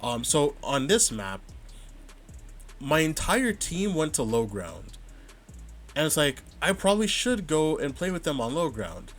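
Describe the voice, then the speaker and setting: A man calmly breaking down his own match footage, thinking aloud and stopping to rewind and check his plays. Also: fairly high pitch. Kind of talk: casual vod review commentary.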